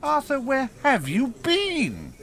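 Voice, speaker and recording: An actor playing an excitable, gushing newspaper journalist who stammers through florid slang in a radio comedy. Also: male voice, extremely high pitch, slow pace, vocal fry, exaggerated voice. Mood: excited, babbling. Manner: stammering, florid, swooping delivery. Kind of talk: radio comedy drama acting.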